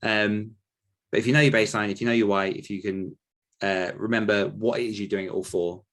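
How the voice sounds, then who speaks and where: Give a man with a fairly low voice in casual podcast talk. He works through his answers aloud, circling around a point in a rambling, conversational way as a host and coach. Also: steady tone, brisk, fairly bright tone.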